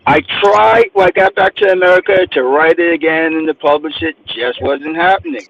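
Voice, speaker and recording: A man's voice: on the high side, big pitch swings, normal speed, creaky, dull audio, a little hiss or hum. A man from New Jersey, a guest author, telling stories with emphasis and acting out the things people say to him. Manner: emphatic storyteller, acts out quotes. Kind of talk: animated interview talk.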